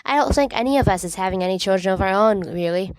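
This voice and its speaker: A woman's voice: medium pitch, lively intonation, quick pace, a little mellow, dry recording, lightly acted, poor audio. Woman as mild teenage boy sidekick, hesitant, doubtful, plain delivery, storybook, dry recording.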